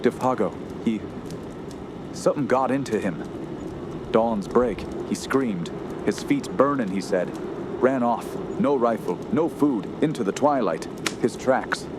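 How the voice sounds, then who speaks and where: An actor playing a haunted young wilderness survivor in a radio horror play, grave, solemn and theatrical. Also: male voice, mid-range voice, lively intonation, lightly acted. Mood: distressed. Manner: slow, grave, solemn, halting when distressed. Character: haunted young male wilderness survivor narrating his terror. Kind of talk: dramatic radio horror narration and acting. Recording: constant outdoor ambience noise behind voice.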